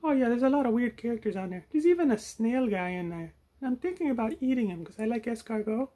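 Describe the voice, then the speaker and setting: A man voicing a silly, greedy, self-important furry puppet with a naive, boastful, excitable manner. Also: very high-pitched, fast talker, voice pushed up. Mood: gleeful. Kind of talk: puppet show banter.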